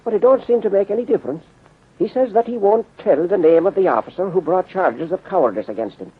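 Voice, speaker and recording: Irish cop sergeant, higher voice swooping around, folksy n earnest, tinny old 30s radio sound. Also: male voice, quick pace, husky. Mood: earnest, puzzled. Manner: folksy, hesitant, expressive. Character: Irish-American police sergeant, earnest, plain-spoken. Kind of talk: 1930s radio drama acting. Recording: muffled, tinny 1930s radio recording.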